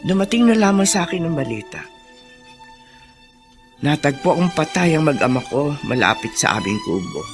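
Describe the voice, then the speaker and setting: A low-voiced older Filipina housemaid. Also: female voice, very animated, normal speed, pauses often, faint music behind. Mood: sorrowful. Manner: colloquial, full of interjections and sighs. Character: older gossipy housemaid, exasperated, plain-spoken mother. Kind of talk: Filipino radio drama acting.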